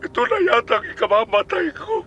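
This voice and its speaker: High, strained old Filipino grandfather. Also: sing-song, a little fast, pushed and bright, highs cut off, soft background music, heavily acted. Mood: anguished, worried. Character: worried, sorrowful elderly grandfather. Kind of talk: Filipino radio drama acting.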